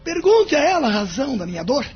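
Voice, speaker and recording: An actor playing a bitter, wounded husband in an audio drama, with a melodramatic, aggrieved delivery. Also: male voice, very high-pitched, very animated, fast, full character voice. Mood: bitter hurt. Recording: dull lo-fi audio with background noise.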